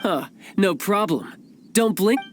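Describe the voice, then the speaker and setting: Self-assured young male schemer for a game: courteous, theatrical, very expressive, faint music behind. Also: sits high, slow pace. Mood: cocky, playful. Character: smooth, cocky young male agent posing as toy salesman. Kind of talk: video game voice acting.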